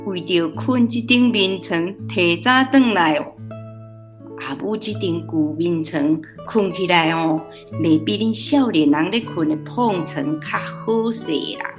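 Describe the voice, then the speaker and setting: A woman's voice: fairly low pitch, unhurried, faint music behind, lightly acted. A woman acting a kindly, patient old grandmother in a radio drama, speaking Taiwanese Hokkien-accented speech. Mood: fond, teasing.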